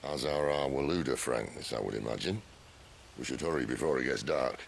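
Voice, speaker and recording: An actor playing a worldly, dry-witted outlaw leader, speaking in a wry, unhurried way. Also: male voice, rumbling low, vocal fry, a little hiss or hum.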